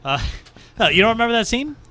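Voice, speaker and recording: A man's voice: very high voice, very animated, quick pace, bright, forceful tone, no echo. A man speaking casually as a podcast co-host into a studio microphone. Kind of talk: casual podcast chat, reading out reviews. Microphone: podcast microphone in a small studio.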